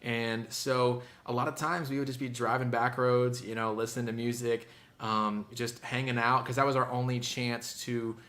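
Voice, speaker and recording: A man's voice: medium pitch, level tone, moderate pace, a bit bright. A young man from Indiana, a musician chatting casually in an interview, easygoing and full of fillers and restarts. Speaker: musician guest.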